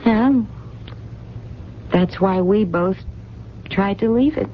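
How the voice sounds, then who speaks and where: A woman's voice: medium pitch, slow pace, lightly acted. An actress playing a wistful, kindly, uneducated woman in a 1970s radio drama, speaking plainly and hesitantly with earnest, colloquial phrasing. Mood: dreamy, earnest. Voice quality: colloquial, unschooled speech. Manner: hesitant, earnest, self-correcting. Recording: dull, noisy old radio recording.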